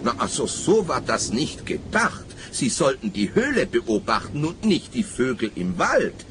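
An actor playing an officious, anxious forester in a radio play, hesitant and plaintive. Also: male voice, medium pitch, huge pitch swings, measured pace, over music. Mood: officious scolding. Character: fussy, officious, anxious male forester, self-pitying company man.

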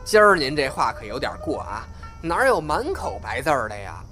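Audio drama: cheeky youngest brother , male actor, young, animated pitch, music underneath. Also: moderate pace. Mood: eager, joking. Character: cheeky, eager young man, youngest brother, crosstalk partner. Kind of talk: Chinese audio drama acting.

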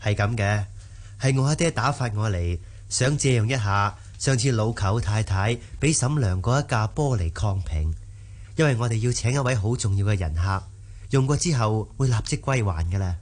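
A man playing a polite, ingratiating young gentleman in a Cantonese radio drama. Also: fairly low pitch, expressive, moderate pace, close to an everyday voice. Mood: courteous, coaxing. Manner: courteous, coaxing.

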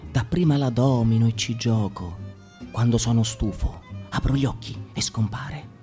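A man reading a literary text as radio theatre, dramatic and shifting between calm and agitated. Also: mid-range voice, normal speed, faint music behind.

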